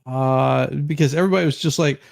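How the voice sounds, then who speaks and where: A man chatting casually as a livestream host, halting and often restarting his words. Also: medium pitch, gravelly, dry recording, studio quality. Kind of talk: casual chat.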